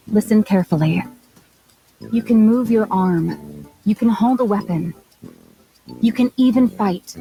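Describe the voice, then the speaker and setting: A woman's voice: medium pitch, mellow, lightly acted, poor audio. A woman playing a blunt, exasperated young mechanic in a video game, speaking in a dry, scolding manner. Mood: stern, irritated.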